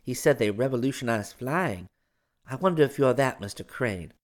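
An eager young woman in a storybook adventure, well-mannered and admiring, played by a male narrator. The voice is lifted in pitch and politely keen. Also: expressive, moderate pace, close to an everyday voice. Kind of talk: audiobook character dialogue.

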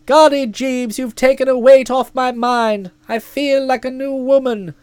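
A woman voicing a hearty, bossy middle-aged aunt who talks in a loud, breezy, emphatic way. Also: high-pitched, steady tone, unhurried, clear, resonant tone, dry recording. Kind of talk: audiobook character voice.

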